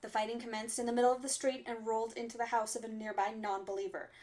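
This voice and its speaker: Composed, higher-voiced woman resistance radio announcer acted. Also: flat delivery, darkish tone, lightly acted. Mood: formal, controlled. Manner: measured, formal, official announcing. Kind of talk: scripted radio drama, formal broadcast bulletin.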